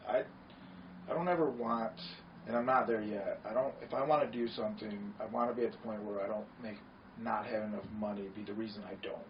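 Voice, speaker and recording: A man's voice: on the low side, steady tone, a little fast. Michigan guy, nasal, kinda far off the mic, muffled lo-fi sound, faint hiss. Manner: earnest, conversational, frequent agreeing. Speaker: podcast guest, wellness center owner. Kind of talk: casual chat. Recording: distant, dull lo-fi sound with faint noise.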